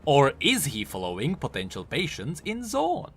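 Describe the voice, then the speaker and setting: A man's voice: on the high side, wildly swooping pitch, moderate pace, a bit bright, husky. A man hosting a video, giving scripted commentary on a game character in an explainer style. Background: music.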